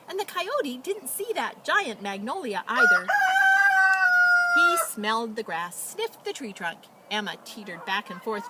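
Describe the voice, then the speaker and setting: A woman reading aloud as a children's storyteller, with expressive, dramatic emphasis and casual delivery. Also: a little high, slight background noise. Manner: animated, dramatic emphasis and drawn-out words.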